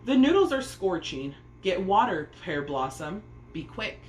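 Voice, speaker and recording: A woman's voice: fairly low pitch, very animated, a little slow. A mean, jealous storybook stepmother grumbles and snaps out orders, played by a woman reader. Her voice rings in a roomy space with faint noise. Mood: scolding. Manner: grumbling, sharp commands. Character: mean, scolding, jealous middle-aged stepmother.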